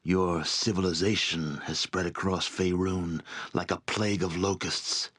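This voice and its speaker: A barbarian chieftain with a very low, weighty voice. He is proud and embittered, delivering formal, deliberate speeches in the grave manner of a fantasy video game leader. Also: steady tone, fairly bright tone, husky, full character voice. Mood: bitter accusation. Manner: solemn, formal, deliberate oratory. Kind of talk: scripted fantasy game voice acting.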